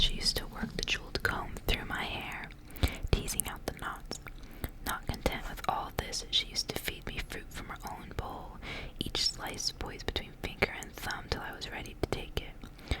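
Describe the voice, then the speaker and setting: Female ASMR reader: fully whispered, soft and breathy, hushed storytelling delivery. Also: low-quality recording. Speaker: ASMR reader and channel host. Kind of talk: ASMR whispered storybook reading with casual asides.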